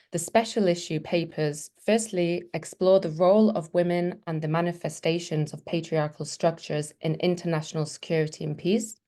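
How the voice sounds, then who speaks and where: Measured, low-voiced woman presenting research. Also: a little mellow. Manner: measured, formal, list-like delivery. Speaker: webinar presenter. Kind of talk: prepared academic speech.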